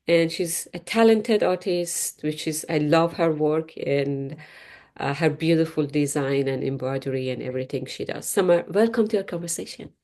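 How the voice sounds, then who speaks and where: A woman hosting an interview, speaking as a with halting phrasing. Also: low voice. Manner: halting, non-native phrasing, warm laughs. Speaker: interview host.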